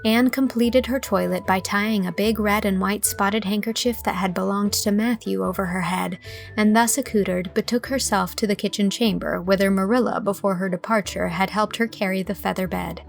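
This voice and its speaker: A woman narrating a literary audiobook, telling the story in a measured, expressive way from a script. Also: mid-range voice, vocal fry, soft background music.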